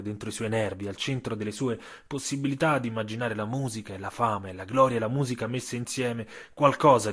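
Husky male dramatic storyteller. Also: fairly low pitch, fairly bright tone. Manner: theatrical storytelling with emphatic phrases and deliberate pauses. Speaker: author and narrator. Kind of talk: scripted audio-story narration.

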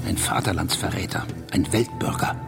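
A man's voice: low voice, normal speed, gravelly, over music. An actor playing a grim, pious anti-revolutionary Russian man who argues emphatically in a German radio drama. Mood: accusing. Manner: declamatory, emphatic.

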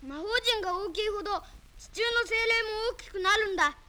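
A woman's voice: very high-pitched, fairly quick, pushed and bright. A woman playing a bold, commanding boy sorcerer, declaiming urgently in a vintage children's audio drama. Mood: determined. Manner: urgent, declamatory, giving orders. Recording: vintage record drama with music behind.

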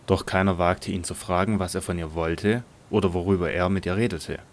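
A man narrating a scripted Bible audio drama in a measured storytelling manner. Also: low voice, fairly even intonation.

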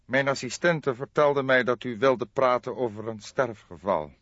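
A man's voice: medium pitch, moderate pace, a bit bright, no room sound, dull audio, voice pushed up, close to an everyday voice. A man voicing a haughty, evasive doctor in his late fifties for an audiobook, growling and hemming nonchalantly. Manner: nonchalant, hesitant hemming and drawling.

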